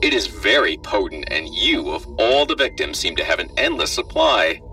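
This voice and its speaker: A man's voice: sits low, big pitch swings, moderate pace, bright, forceful tone, distant mic, soft background music, exaggerated voice. A man voicing a callous, flippant government doctor in a hazmat suit, with a robotic, matter-of-fact delivery in a horror story. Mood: smug. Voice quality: robotic, muffled through a suit. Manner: matter-of-fact, darkly joking.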